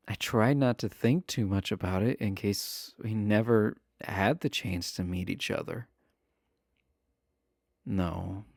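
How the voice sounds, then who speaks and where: A shy, friendly villain behind bars, acted by a man, talking to the listener in a romantic audio roleplay. His voice is low and creaky, and he takes his time, stumbling earnestly over his words. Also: darkish tone, no room sound, studio quality, heavily acted. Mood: bashful. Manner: hesitant, stammering, awkwardly earnest.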